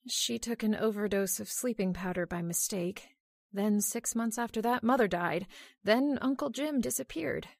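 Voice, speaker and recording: A woman's voice: mid-range voice, normal speed, vocal fry, darkish tone, dry recording, clean studio sound. A woman playing a curious, jumpy wife in an old-time radio horror drama, urgent and exclamatory. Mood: somber. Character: jumpy, curious, insistent wife in a haunted house.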